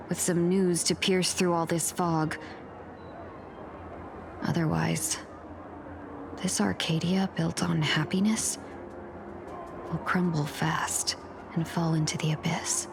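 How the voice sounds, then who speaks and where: A woman playing a weary, serious leader in a fantasy video game, with a deliberate, somber way of speaking. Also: deep voice, level tone, gravelly, noisy background, heavily acted.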